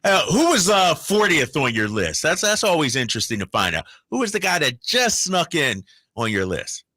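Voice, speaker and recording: Male sports talk co-host, animated pitch, bright tone, casual bantering interviewer. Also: normal speed, dry recording, clean studio sound.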